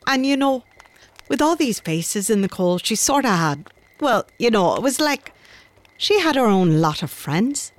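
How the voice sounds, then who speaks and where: A woman's voice: on the high side, very animated, measured pace. An actress playing an Irish countrywoman who tells a tale of her gran in a chatty, confiding, folksy way for a radio drama. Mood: reminiscing. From Ireland. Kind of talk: conversational storytelling in radio drama.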